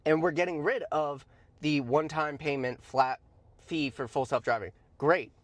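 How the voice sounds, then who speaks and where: A man's voice: fairly high pitch, steady tone, moderate pace, dry recording. A man giving informal, opinionated commentary in a blunt, emphatic, conversational way, with slangy asides and points repeated for stress. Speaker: host of a stock commentary show.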